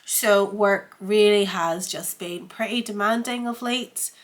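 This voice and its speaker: A woman's voice: mid-range voice, takes it slowly. A woman with a congested, head-cold voice, chatting casually as the host of a sewing vlog while feeling under the weather. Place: home sewing room.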